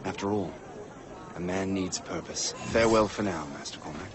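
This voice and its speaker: An actor playing a dignified, benevolent colonial army colonel in a video game, speaking in a formal, measured, courteous way. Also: male voice, low voice, noisy background, close to an everyday voice. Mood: warm approval. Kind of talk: video game cutscene acting.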